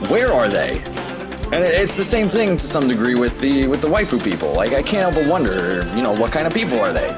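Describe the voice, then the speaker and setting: A man with a mid-range voice delivers a comedic online commentary, sarcastic and profane, drifting into rambling asides. Clear background music plays under his narration the whole time. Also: mid-range voice, sing-song, fairly quick. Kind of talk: comedic video essay narration.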